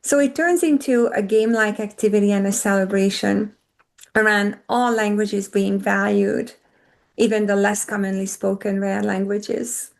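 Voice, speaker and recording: A woman's voice: medium pitch, steady tone, normal speed, gravelly, darkish tone. A woman whose first language is Hungarian, speaking earnestly as a panelist in an online discussion over a video call.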